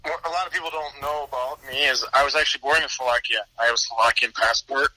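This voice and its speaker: Bright-toned young man telling a story. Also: mid-range voice, steady tone, fast talker, dry recording, rough recording. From Washington DC area, United States. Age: 20s. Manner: easygoing, repeats words as he thinks. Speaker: podcast guest, pro hockey player. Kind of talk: casual interview storytelling.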